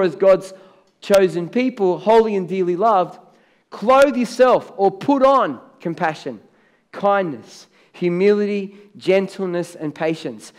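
A man's voice: a little high, darkish tone. A middle-aged man with an Australian accent preaching a sermon on a church stage, chatty, then rising to emphatic on key words. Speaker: pastor. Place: large church stage with blue lighting.

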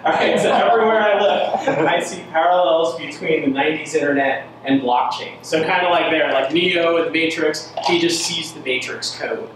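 A man's voice: a little high, normal speed, hardly any pauses. A man giving a casual, joking tech presentation to a meetup audience on a handheld mic, with many fillers. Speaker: meetup speaker. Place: large indoor event space with audience. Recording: echoey room, mic from far off, noisy.

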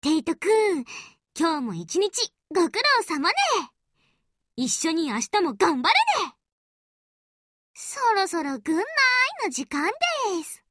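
A bubbly battleship girl from an anime game. The voice is extremely high and bright, with lively swoops of pitch. She is doting and peppy, announcing things in with bits of English. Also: takes it slowly, no room sound, studio quality, heavily acted. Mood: cheerful, affectionate. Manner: peppy, exclaiming, peppers speech with English words. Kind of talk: anime game character voice lines, hourly announcements.

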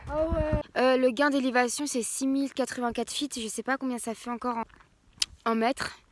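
Une jeune femme d'une vingtaine d'années qui raconte son road trip en vlog, bavarde et essoufflée après la montée, filmée au téléphone tenu à bout de bras. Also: female voice, high voice, steady tone, moderate pace, slight background noise. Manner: chatty, breathless after climbing. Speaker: travel vlogger. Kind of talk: casual travel vlog. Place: outdoors on mountain trails and in a motel room. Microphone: phone held at arm's length.

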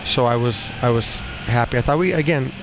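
A man in his fifties, a hockey coach speaking off the cuff in a postgame interview, blunt and halting, often repeating phrases. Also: medium pitch. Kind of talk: postgame interview, unscripted talk. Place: small cinder-block room indoors at a rink. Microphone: headset boom mic. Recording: noisy arena room, steady background din.

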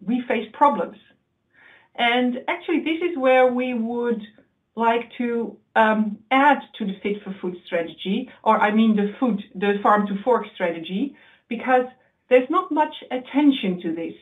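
A woman giving a webinar talk from slides, explaining in a measured way with occasional slips and restarts. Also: a little high. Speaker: research presenter. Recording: tinny phone-like audio.

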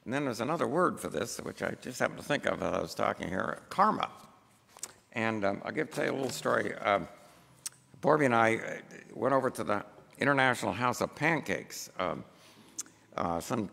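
An American man in his seventies preaching a sermon from a church pulpit, speaking in a halting, unhurried way with pauses. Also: on the low side, expressive, creaky, sharp tone. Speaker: pastor. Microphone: gooseneck pulpit microphone. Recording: reverberant church hall.

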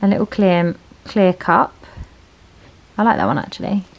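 A woman's voice: mid-range voice, lively intonation, normal speed, dull audio. An English woman in her thirties chatting casually and informally to a vlog camera. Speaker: vlogger. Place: parked car, later indoor room at home. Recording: clear background noise of car and room.

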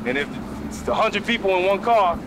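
A man's voice: sits high, lively intonation, fairly quick, background noise. A man working as a rail yard foreman, explaining train cleaning conversationally. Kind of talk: casual explaining.

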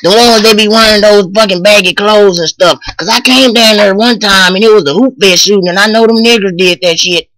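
A man's voice: high-pitched, brisk, few pauses, fairly bright tone, no room sound. A young man voicing a brash, insistent rural bigot in a comic prank phone call. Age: young adult. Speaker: prank caller. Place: small room indoors, close to a webcam, holding a phone.

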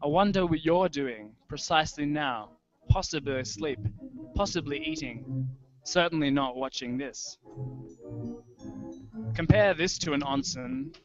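A man in his thirties giving a measured, poetic literary reading. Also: a little high, sing-song, vocal fry. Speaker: writer reading his own fiction. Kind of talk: literary reading over a live soundscape. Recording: dull lo-fi web stream audio. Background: music.

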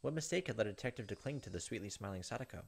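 A man's voice: on the low side, expressive, racing, gravelly, husky. A man, reading visual novel text aloud as a gaming host, with casual asides. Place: indoors, recording at a computer. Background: faint game music.

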